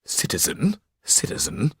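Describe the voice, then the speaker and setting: A man's voice: a little slow, pushed and bright, dry recording, whispered, studio quality. A man voicing a pompous, foolish aristocratic marquis with an affected drawl. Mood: disbelief.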